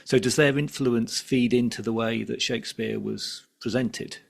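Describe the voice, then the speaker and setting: A man hosting a podcast interview, putting his questions in a measured, conversational way. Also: medium pitch, fairly even intonation, vocal fry.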